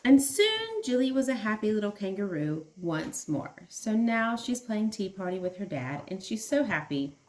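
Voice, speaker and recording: A woman with a medium-pitched voice reads a picture book as a preschool teacher. She speaks to small children, stressing words and pausing to explain things simply or ask questions. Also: sing-song, a little mellow, slight background noise. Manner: addresses young children with questions and emphatic words. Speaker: preschool teacher reading aloud. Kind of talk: expressive children's read-aloud with simple explanations.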